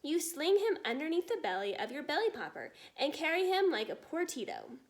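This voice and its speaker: A woman voicing a gentle, friendly storybook giant who speaks in muddled grammar and invented words. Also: sits high, sing-song, brisk, pitched above the performer's own voice. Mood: puzzled, explaining.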